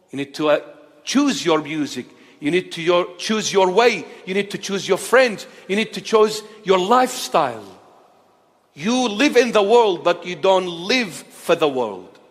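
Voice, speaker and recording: A man preaching a fiery sermon, repeating his phrases, dropping to low murmurs and then rising to shouting. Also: big-room sound. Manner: impassioned, repetitive, swings between low murmurs and shouting. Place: in Australia.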